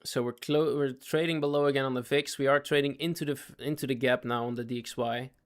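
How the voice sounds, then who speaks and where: A man's voice: medium pitch, fairly even intonation, quick pace. Guy rambling through live trades to his chat, voice going, says stuff twice. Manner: talks in fits and starts, repeats himself, asks chat to follow. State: losing his voice. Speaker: day trading livestreamer. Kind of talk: live trading commentary to chat.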